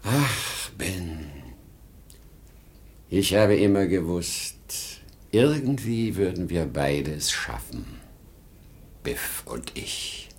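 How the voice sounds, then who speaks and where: A man's voice: deep voice, big pitch swings, very slow, pauses often, heavily acted. An actor playing a weary, dreaming salesman in his sixties in a 1950s German radio drama, theatrical and lingering in delivery. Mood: dreamy, elated. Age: 60s. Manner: theatrical, lingering, swinging in volume. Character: weary, dreaming ageing salesman at life's end.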